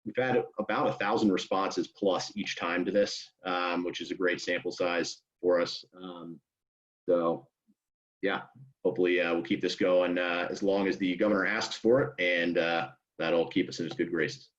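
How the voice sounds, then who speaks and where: A man giving an informal work update on a conference call, speaking in a halting, hedging way. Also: deep voice, flat delivery. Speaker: association staffer giving a report. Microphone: conference call line. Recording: muffled conference call audio.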